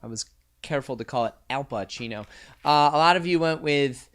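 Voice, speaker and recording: A man's voice: fairly high pitch, fairly quick, fairly bright tone, clean tone. A man hosting a live quiz night, reading out questions and answers with joking asides. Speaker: trivia quiz host.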